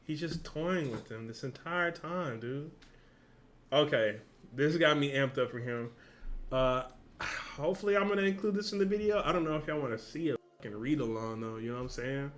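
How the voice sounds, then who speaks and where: A man's voice: a little high, normal speed, fairly bright tone, a little hiss or hum. A man with a casual, slangy, informal way of talking, hosting a reaction video and reading along.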